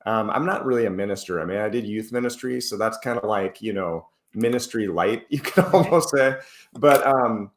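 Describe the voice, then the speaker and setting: A man's voice: on the low side, reverberant. A man recovering from the flu, talking earnestly as an interview guest and explaining at length with frequent restarts. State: recovering from flu, using a cough drop. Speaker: podcast guest, author. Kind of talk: casual interview talk.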